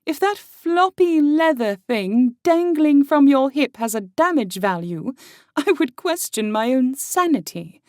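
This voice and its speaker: Audiobook game-world woman: commanding, appraising, dry wit, soft dark tone, unhurried. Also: sits high, animated, dry recording. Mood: dry, incredulous. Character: Lex, self-assured, appraising young woman of a game world. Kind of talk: audiobook character acting.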